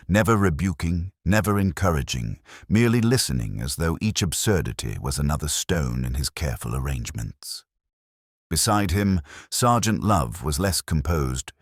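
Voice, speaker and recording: A man giving a measured, literary scripted narration of a detective story. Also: rumbling low, dry recording, clean studio sound.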